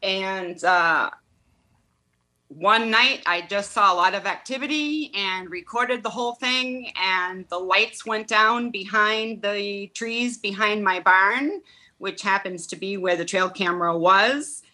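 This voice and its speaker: Bright-voiced Boston woman caller. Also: mid-range voice, slow pace. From Boston area, Massachusetts. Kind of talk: casual phone-in chat.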